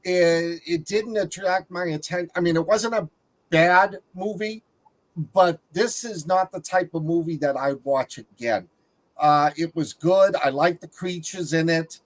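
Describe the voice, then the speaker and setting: Higher-pitched man reviewing films casually. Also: a little slow. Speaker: panelist on a movie review show. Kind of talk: casual movie discussion.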